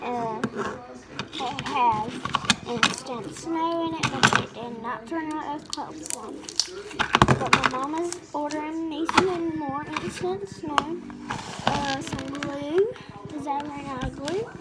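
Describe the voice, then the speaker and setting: A woman's voice: sits high, slow pace. Young girl with a sore throat. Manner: chatty, rambling, restarts mid-sentence. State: sore throat and cold, losing her voice. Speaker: slime maker showing her slime. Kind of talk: casual show-and-tell vlog. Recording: loud background noise.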